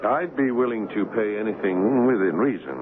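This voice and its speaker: A man's voice: on the low side, expressive, normal speed, noisy background, close to an everyday voice. An actor playing an American writer husband in a 1970s radio drama, telling his tale in a dramatic, reflective way. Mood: easygoing, curious. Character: American writer husband recounting an ominous past, wistful. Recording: muffled old radio broadcast with music.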